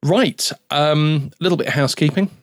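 A man's voice: a little high, a little fast, no room sound. A man co-hosting a music podcast, chatting casually between tracks with rambling asides. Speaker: podcast co-host. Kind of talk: casual radio-style chat between tracks.

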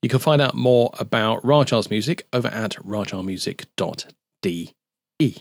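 Gravelly man co-hosting a music podcast. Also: fairly low pitch, moderate pace, no room sound. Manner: chatty, rambling asides with restarts. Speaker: podcast co-host. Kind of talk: casual radio-style chat between tracks.

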